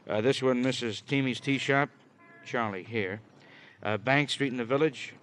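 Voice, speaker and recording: An American man playing a wryly sardonic, methodical police detective in a 1950s radio drama, halting and roundabout in his delivery. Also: mid-range voice, a bit bright, close to an everyday voice. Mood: methodical, leading. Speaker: actor in radio crime drama. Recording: faintly noisy vintage radio recording.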